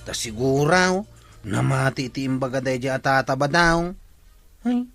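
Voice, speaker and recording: An actor with an Ilocano accent playing an earnest, troubled young suitor in a radio drama. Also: male voice, mid-range voice, expressive, unhurried, gravelly, fairly bright tone, no room sound, faint music behind. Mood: hurt.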